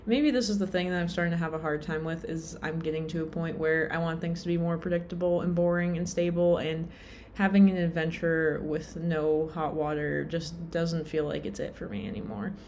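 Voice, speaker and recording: Tired young woman vlogger, low pitch, chatty and meandering, self-deprecating. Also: brisk, hardly any pauses, a little mellow, a little hiss or hum. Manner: rambling, lots of "like" and "um", self-deprecating. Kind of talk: casual rambling vlog.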